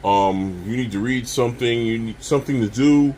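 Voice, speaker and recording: A man casually demonstrating a product as a reviewer, speaking haltingly and addressing viewers directly. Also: medium pitch, dark tone, a little hiss or hum.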